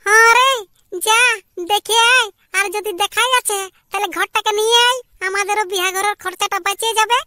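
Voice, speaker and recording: Shrill, scolding village mother. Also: fairly even intonation, fairly quick, sharp tone, no echo. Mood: sarcastic. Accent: Purulia Bengali. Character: scolding, exasperated village mother of grown sons. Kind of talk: amateur cartoon comedy dialogue.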